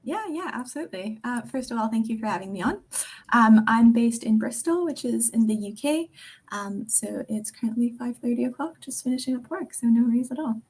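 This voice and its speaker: A young woman from Singapore, a guest on a video call, answering interview questions in a friendly, relaxed way with frequent ums. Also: a little high, brisk, slightly rough. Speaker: guest, customer success manager.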